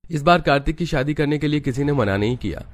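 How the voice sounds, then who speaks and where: A man's voice: a little high, animated, fast talker. A man narrating a family story in a scripted storybook style.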